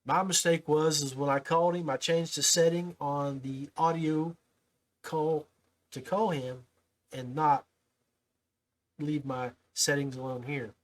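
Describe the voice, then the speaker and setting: A man with an American accent hosts a casual podcast. His voice sits a little high for a man. He speaks in a folksy, informal way, like someone chatting with buddies. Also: fairly even intonation, measured pace, long pauses, low-quality recording. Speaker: podcast host. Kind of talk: casual podcast talk and interview.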